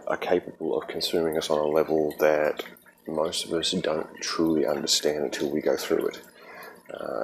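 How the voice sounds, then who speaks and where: Very deep-voiced Australian man, halting. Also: vocal fry, slight background noise, low-quality recording. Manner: halting, many ums and restarts; earnest and reflective. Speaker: podcast host. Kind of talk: solo podcast monologue.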